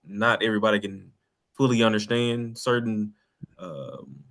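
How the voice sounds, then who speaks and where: A man with a fairly low voice speaks as a guest on a podcast. He is casual and informal. His phrases come haltingly as he searches for the right words. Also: fairly bright tone. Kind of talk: casual chat.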